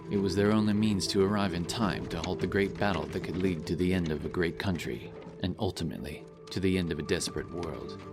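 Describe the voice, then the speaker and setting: A man reading a scripted fantasy story as narrator, in a dramatic, solemn and measured style. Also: low voice. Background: music.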